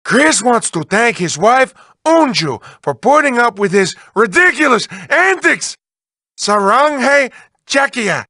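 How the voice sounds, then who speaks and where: A man's voice: extremely high pitch, very animated, takes it slowly, pushed and bright, no room sound, clean studio sound, full character voice. A man voicing a manic, lovelorn, whiny mad-scientist villain in a video game, with theatrical, exaggerated delivery that swings between cooing and wailing. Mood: mock formal. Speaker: video game villain reading developer messages. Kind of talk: exaggerated comic cartoon voice acting.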